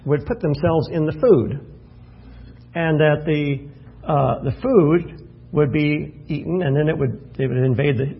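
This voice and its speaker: Man, pastor, casual Bible study teaching, thinks aloud, slightly high voice, gravelly. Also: muffled recording. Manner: folksy, self-deprecating, thinking aloud with restarts. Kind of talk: evening Bible study sermon.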